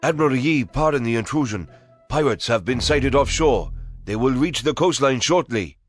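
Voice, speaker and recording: An actor playing a dutiful soldier in a video game, speaking in a formal military manner. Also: male voice, mid-range voice, lively intonation, moderate pace, soft background music, lightly acted. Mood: urgent. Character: dutiful male soldier reporting to his admiral. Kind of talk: scripted video game voice acting.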